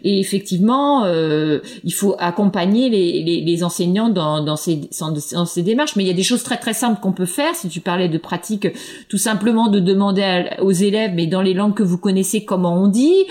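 A woman speaking as an education researcher in an interview. She talks hesitantly, with frequent fillers and repeated words. Her voice sits in a middle pitch. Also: moderate pace, darkish tone. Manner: hesitant, many fillers and restarts. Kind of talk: interview answers.

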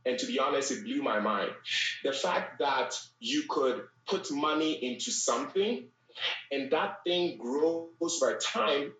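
A man's voice: medium pitch, expressive, a little slow, fairly bright tone. A man from Ghana, a podcast guest telling his story casually, with fillers and restarts. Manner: conversational, frequent fillers and restarts. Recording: echoey room, distant from mic.

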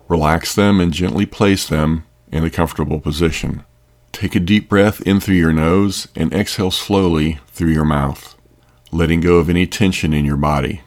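A man narrating a scripted sleep meditation in a calm, soothing, gentle manner. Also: rumbling low.

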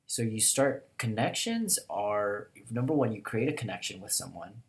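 A young man with a hoarse, deep voice from a cold, hosting a casual chat with upbeat enthusiasm. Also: big pitch swings, normal speed. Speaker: podcast host.

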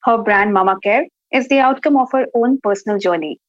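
Woman podcast co-host, warm, encouraging interviewer, muffled dry audio. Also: mid-range voice, brisk, no room sound. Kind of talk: interviewing a guest.

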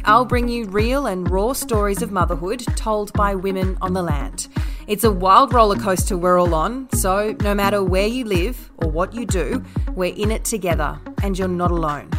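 A woman's voice: medium pitch, normal speed, over music. A woman from Australia hosting a podcast, reading an upbeat intro and interviewing a guest. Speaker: podcast host. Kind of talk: podcast hosting, scripted intro then chat.

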